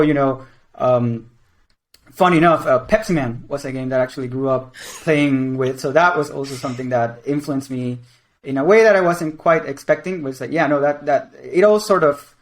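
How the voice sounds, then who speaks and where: A man in his twenties from Venezuela and Mexico, a game creative director answering interview questions in a rambling, casual way. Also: mid-range voice. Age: 20s. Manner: rambling, many restarts and fillers.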